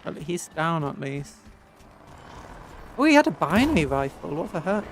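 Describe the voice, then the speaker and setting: A woman's voice: sits low, big pitch swings, normal speed, a little hiss or hum. A British woman giving casual, playful, chatty gameplay commentary as a streamer. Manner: playful, chatty, talking to the game. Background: game audio and music.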